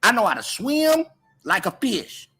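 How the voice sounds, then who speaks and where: High, bright, husky American man. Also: sing-song, a little slow, faint music behind. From Oakland, California, US. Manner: slangy, repetitive, confrontational. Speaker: livestream host moderating chat. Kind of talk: casual livestream chat.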